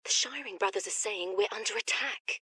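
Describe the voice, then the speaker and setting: A woman playing an anxious worker in a video game audio drama, with a raspy, breathy voice. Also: mid-range voice, fairly quick, bright, forceful tone, dry recording. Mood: worried.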